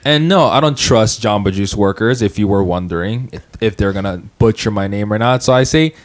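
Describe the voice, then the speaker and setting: A young man from Hawaii, a podcast co-host, talking casually, joking and swearing, then speaking softly and thoughtfully about his family. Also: lowish voice, brisk. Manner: joking, profane, then reflective and soft-spoken. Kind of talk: casual group chat, storytelling.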